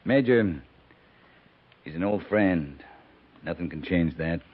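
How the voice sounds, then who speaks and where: An actor playing a steady, plain-spoken cavalry captain, terse and firm, in a 1950s radio drama. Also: male voice, low voice, slow pace, husky, phone-quality sound, exaggerated voice. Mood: laconic. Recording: thin, boxy 1950s radio recording.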